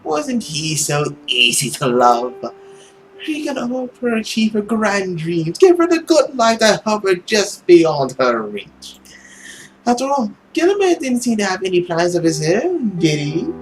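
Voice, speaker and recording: A man voicing a smug, scornful nobleman villain in a visual novel, drawling and sarcastic with theatrical pauses. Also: high voice, huge pitch swings, slight background noise, exaggerated voice, poor audio. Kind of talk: visual novel character acting.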